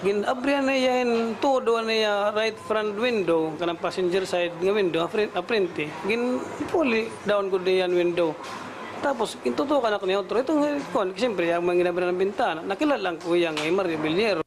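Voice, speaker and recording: A man's voice: high-pitched, fairly quick. A man with a Waray accent from the Philippines giving a spontaneous account as a news interviewee. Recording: noisy on-location news interview recording.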